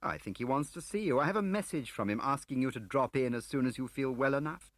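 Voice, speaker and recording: A man voicing an urbane, flippant gentleman spy in an audiobook, with a droll upper-class English manner. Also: medium pitch, fast talker, no room sound, pitched above the performer's own voice, close to an everyday voice. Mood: wry. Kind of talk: audiobook character acting.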